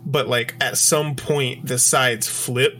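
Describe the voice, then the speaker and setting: A man's voice: mid-range voice, steady tone, slow, bright, forceful tone. A young man co-hosting a gaming podcast, laid-back and slangy, calling people bro and dude. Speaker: podcast co-host. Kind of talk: casual podcast chat.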